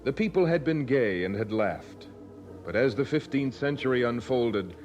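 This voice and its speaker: A man's voice: medium pitch, lively intonation, normal speed, a little mellow. A man announcing and narrating a radio drama in a solemn, scripted style. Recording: dull old radio recording. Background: music.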